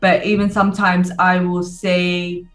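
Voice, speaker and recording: A woman's voice: on the low side, level tone, a little slow, a bit bright, distant mic, faint music behind. A woman in her twenties with a British accent, a guest chatting casually in an interview, hesitant with lots of fillers and frequent laughter. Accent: British English. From United Kingdom. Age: 20s.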